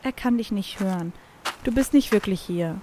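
A woman's voice: medium pitch, normal speed, dark tone, slight background noise, lightly acted, rough recording. A woman playing a breezy angel who grants a twisted wish in an amateur audio drama. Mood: matter-of-fact.

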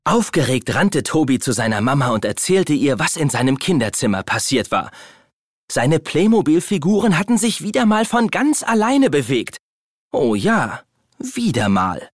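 A man narrating a children's story from a script, as an expressive storyteller. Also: on the high side, moderate pace, no room sound, studio quality.

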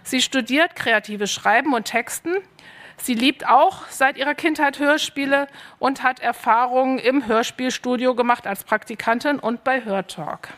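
A woman's voice: high voice, normal speed, a bit bright. Woman moderating a panel. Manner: friendly, inviting the audience to join in. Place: panel stage at an arts festival. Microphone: handheld microphone.

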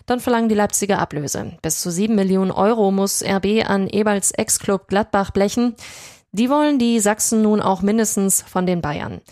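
A woman news presenter reads headlines in a brisk, punchy scripted style. Her voice sits at an ordinary middle pitch. The studio sound is completely dry, with no room echo. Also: a little mellow.

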